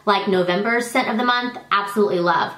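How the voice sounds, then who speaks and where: A woman's voice: mid-range voice, fast talker, reverberant, low-quality recording. A woman in her thirties, stuffy from allergies, chatting casually to camera about products she sells. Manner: chatty, self-deprecating, warm toward her child. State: congested from allergies. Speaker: product vlogger and consultant. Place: living room, indoors.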